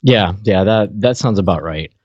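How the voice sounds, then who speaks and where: A man's voice: lowish voice, moderate pace, darkish tone, no echo. A man co-hosting a podcast over an online call, chatting casually in a joking, mock-serious way. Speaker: podcast co-host. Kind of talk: casual chat. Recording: dull, slightly lo-fi call audio.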